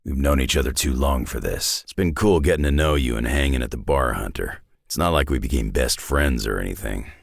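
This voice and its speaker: A man playing a gruff, world-weary, sardonic middle-aged superhero brawler in a video game, with a raspy growl and a blunt, wry drawl. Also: rumbling low, fairly quick, few pauses, no room sound, studio quality, exaggerated voice. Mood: dry, weary. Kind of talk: video game character voice lines.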